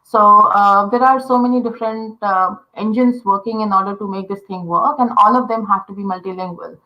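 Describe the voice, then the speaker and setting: A woman's voice: medium pitch, fairly even intonation, fairly quick, lo-fi sound. A woman from India, a voice designer patiently explaining how voice assistants work, full of "uh" and "you know". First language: Telugu. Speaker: voice interaction designer. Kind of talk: explaining in a casual interview.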